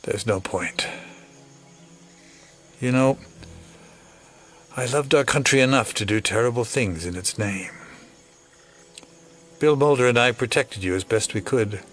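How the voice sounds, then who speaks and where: An actor playing a cynical, world-weary older conspirator, measured, fatalistic and wry, in an audio drama. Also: male voice, medium pitch, fairly bright tone. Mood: resigned.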